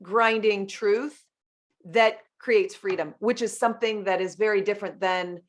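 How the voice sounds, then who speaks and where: A woman's voice: medium pitch, unhurried. A woman hosting a podcast interview, asking long, effusive questions and telling family stories. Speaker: podcast host. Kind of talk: interview conversation.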